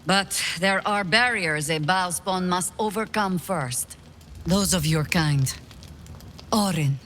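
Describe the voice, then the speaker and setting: An actor playing a solemn, protective companion in a fantasy video game, speaking in a measured, earnest, thoughtful way. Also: mid-range voice, a bit bright, slight background noise. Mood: earnest, reassuring. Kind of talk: dramatic video game dialogue.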